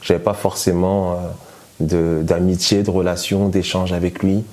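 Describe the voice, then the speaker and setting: A man's voice: low voice, level tone, moderate pace, darkish tone. A man born in France telling his personal testimony in a hesitant way, with many fillers and restarts. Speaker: guest giving testimony.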